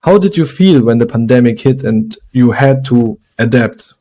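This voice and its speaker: A man hosting a podcast interview in a casual way, haltingly searching for his words as he talks. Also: mid-range voice, animated, warm tone.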